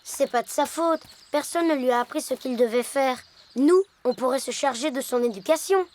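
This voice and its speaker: A woman's voice: sits high, normal speed, darkish tone, lightly acted. A woman playing a cautious, kindly little boy in a children's cartoon, speaking in a polite, earnest way. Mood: gentle, polite. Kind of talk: French-language children's cartoon dub acting. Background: children's music.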